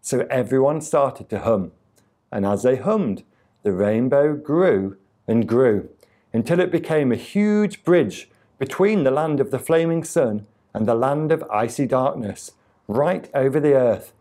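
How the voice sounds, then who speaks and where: A man reading a children's tale aloud as a storyteller, with deliberate, expressive delivery. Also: mid-range voice. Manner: deliberate, expressive reading with acted sound effects.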